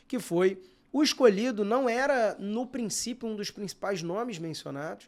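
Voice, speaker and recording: A man from Brazil co-hosting a news podcast, chatting informally with lots of casual fillers. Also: a little high. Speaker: podcast co-host. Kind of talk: casual news-discussion chat.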